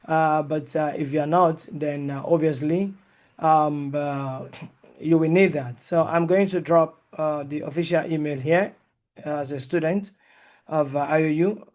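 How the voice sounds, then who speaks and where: Halting man teaching, high-pitched. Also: steady tone, darkish tone. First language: not English. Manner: hesitant, many uh and um fillers; mixes in Arabic and Islamic terms. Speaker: Sharia teacher. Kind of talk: online lecture with live Q&A.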